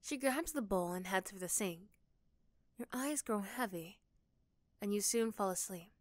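A woman's voice: mid-range voice, animated, measured pace, dry recording. A woman reading scripted second-person story narration for an ASMR roleplay.

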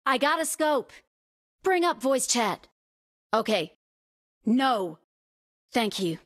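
A woman's voice: fairly high pitch, big pitch swings, slow pace, heavily acted. A woman voicing a female battle-royale squadmate in a shooter game, giving short, clipped tactical callouts. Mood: calm, assured. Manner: clipped, isolated command phrases. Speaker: game voice-pack actor.

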